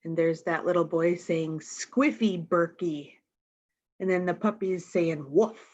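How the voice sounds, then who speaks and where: A woman reads a picture book to young children at an unhurried, slow pace. She is expressive and speaks straight to the little listeners, often with questions. The recording is slightly dull and lo-fi. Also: on the low side. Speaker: storytime reader.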